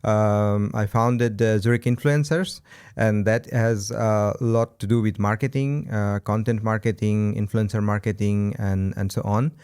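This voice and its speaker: Smooth-voiced man with an Indian accent. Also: on the low side, fairly even intonation, unhurried, a little mellow. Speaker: podcast guest, event co-founder. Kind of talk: casual interview answers.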